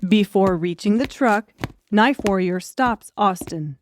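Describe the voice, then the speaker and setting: A woman's voice: medium pitch, slow, a little hiss or hum. A woman reading scripted, dramatic audiobook narration.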